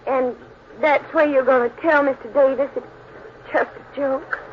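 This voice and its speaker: An actress playing a hesitant, wary young American model in a 1940s radio crime drama. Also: female voice, sits high, steady tone, measured pace. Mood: wary, reluctant. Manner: hesitant, doubtful. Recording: hissy, muffled old radio broadcast.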